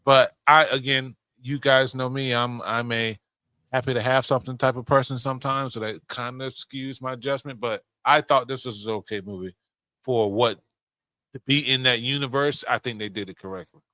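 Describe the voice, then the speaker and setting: Male podcast co-host, forceful bright voice, opinionated and sweary casual chat, close dry sound. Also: medium pitch, fairly even intonation, normal speed, no room sound. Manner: blunt, opinionated, swears freely. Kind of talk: casual chat about movies and games.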